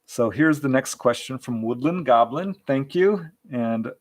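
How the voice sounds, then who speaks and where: A man's voice: mid-range voice, animated, unhurried. A man hosting an informal, chatty live question-and-answer session, reading out audience questions. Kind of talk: casual live Q&A chat.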